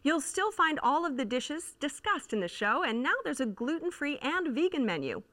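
A woman's voice: high voice, sing-song, normal speed, a bit bright. A woman hosting a restaurant review roundtable, steering the talk and reading the details. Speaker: host.

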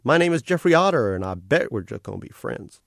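A man voicing a cheerful electronic male otter, a carnival-bright official with a sing-song, salesman's cheer. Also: medium pitch, sing-song, brisk, vocal fry, no echo, studio quality, pitched above the performer's own voice. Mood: chirpy. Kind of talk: audiobook character voice.

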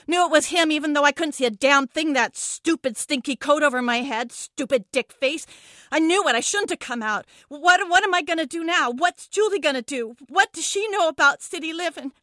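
A woman's voice: sits high, moderate pace, sharp tone, no room sound, clean studio sound. A woman playing a scared but defiant young schoolgirl in a dramatic monologue, stammering and repeating herself with foul-mouthed bravado. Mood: angry, defiant, then anxious. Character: frightened, defiant young schoolgirl trapped in a car trunk. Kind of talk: dramatic first-person monologue.

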